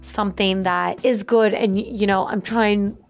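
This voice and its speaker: Mid-pitched woman storyteller over faint music. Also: a little slow, gravelly. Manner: animated storyteller, acts out quotes, frequent "like". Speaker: co-host of a reaction video. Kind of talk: casual anecdotal chat.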